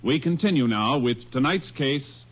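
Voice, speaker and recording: A man's voice: medium pitch, measured pace. A male announcer introducing a 1950s radio drama in a formal announcing style. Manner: formal, lively delivery. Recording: dull old radio recording.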